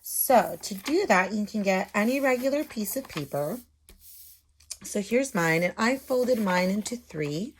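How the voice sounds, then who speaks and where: A woman's voice: medium pitch, animated, unhurried, a little hiss or hum. A woman teacher explaining things simply to young children, talking casually as she draws and adding little check-in questions. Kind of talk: casual instructional talk while drawing.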